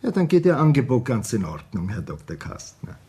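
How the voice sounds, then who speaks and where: An actor in a German radio play, playing a guarded intelligence officer with a dark, husky voice and formal manner. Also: male voice, medium pitch, sing-song, a little fast. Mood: guarded, conceding.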